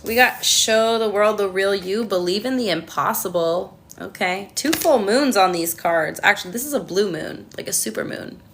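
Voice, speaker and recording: A woman's voice: medium pitch, normal speed, vocal fry, hardly any pauses, fairly bright tone, a little hiss or hum. A Canadian woman doing a casual livestream tarot reading, chatting to viewers and answering their comments with lots of ums. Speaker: tarot reader.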